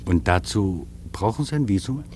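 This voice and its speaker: An actor playing a reflective travel writer, recounting a journey in literary first-person radio narration. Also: male voice, fairly low pitch, animated, moderate pace, noisy background, close to an everyday voice. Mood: observant.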